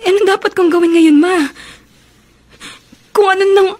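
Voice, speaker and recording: A woman's voice: extremely high pitch, steady tone, moderate pace, darkish tone. A woman playing an emotional young daughter and girlfriend in a Filipino radio drama. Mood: distressed, tearful.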